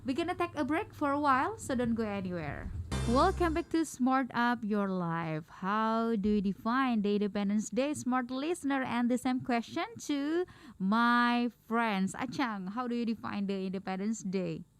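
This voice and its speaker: A woman's voice: fairly high pitch, lively intonation, normal speed, clear, resonant tone. Chatty Indonesian-accented woman radio host. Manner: hesitant, chatty, mixes in Indonesian phrases. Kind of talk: radio talk show.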